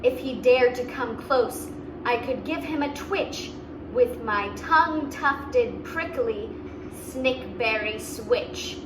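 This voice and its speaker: A woman reads a picture book to children in a fairly high voice. Her delivery is expressive and very unhurried, with simple explanations. She sounds a little distant from the microphone. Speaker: story reader and host. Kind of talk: children's read-aloud storytelling with asides. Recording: roomy, distant mic, clear background noise.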